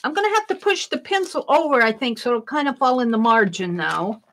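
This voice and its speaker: A middle-aged woman hosting an art livestream, chatting casually with a loud, projected voice while she works and reads chat comments. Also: on the high side, animated, a little fast, a little hiss or hum.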